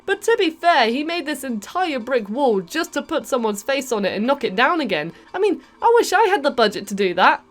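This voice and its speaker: High-pitched British woman, playful game commentary. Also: a little fast, few pauses. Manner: animated, expressive, mildly sweary, playful exasperation. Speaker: video game reviewer and channel host. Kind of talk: chatty comedic commentary over game cutscenes. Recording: music behind the voice. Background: background music.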